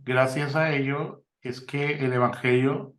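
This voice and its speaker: Man interviewer, mid-pitched voice, affirming, lots of fillers, echoey room. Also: steady tone, a little slow, a bit bright. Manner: affirming, many fillers and restarts. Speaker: interviewer, mission ministry host. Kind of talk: conversational interview. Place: Spain.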